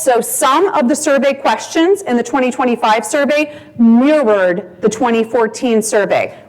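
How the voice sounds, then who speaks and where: Slightly high-voiced woman presenting data slides, steady and patient, filler-heavy. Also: poor audio. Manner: measured, frequent ums, walks through slides. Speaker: conference presenter. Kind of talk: presenting survey results. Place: conference session room.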